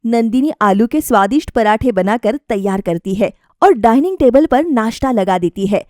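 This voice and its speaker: A woman's voice: a little high, expressive, moderate pace, a little mellow, no echo, studio quality. A woman storyteller reading a scripted story as narrator.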